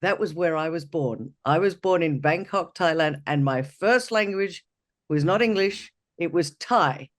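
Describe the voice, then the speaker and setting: A man's voice: on the high side, unhurried. A male podcast host giving chatty, upbeat introductions and asking questions in an interview.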